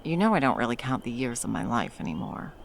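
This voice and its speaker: A woman's voice: a bass voice, big pitch swings, fast, creaky, a little hiss or hum, heavily acted. A woman voicing a centuries-old female monster hunter in an ASMR roleplay. She talks bluntly and swears a lot, then drops into tender murmurs. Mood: soft, tender.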